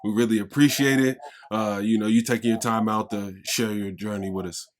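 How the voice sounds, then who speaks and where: Young male podcast host from Pittsburgh, energetic and slangy, low voice. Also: fairly quick. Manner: upbeat, slangy, hype greetings. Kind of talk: casual interview chat.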